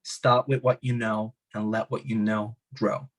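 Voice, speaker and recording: A man's voice: lowish voice, flat delivery, measured pace. A young man from Hungary with a Hungarian accent, a podcast guest talking with passion about life choices, swearing for emphasis and coming back to his motto. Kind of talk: motivational interview talk.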